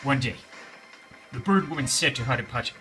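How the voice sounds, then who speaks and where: A man's voice: medium pitch, fairly quick, sharp tone, soft background music. A man telling a children's bedtime story in a theatrical, emphatic way, repeating phrases for suspense.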